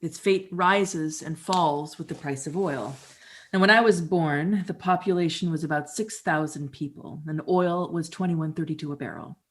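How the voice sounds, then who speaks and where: A middle-aged woman from Canada, performing a theatrical storytelling monologue in a wry, conversational, expressive way. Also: low-pitched, moderate pace.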